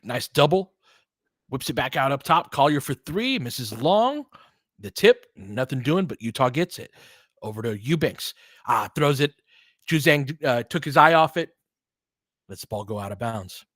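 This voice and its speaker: A man calling basketball play-by-play as a fan commentator, clipped and quick, breaking into excited bursts and short laughs. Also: mid-range voice, dry recording, clean studio sound.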